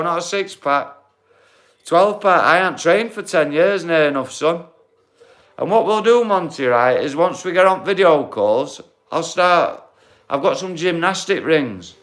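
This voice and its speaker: A man's voice: a little high, a bit bright. Blunt, matey man in his forties. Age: 40s. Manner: blunt, matey, swears, calls everyone mate. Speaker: livestream host. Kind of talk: casual livestream chat to viewers. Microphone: phone.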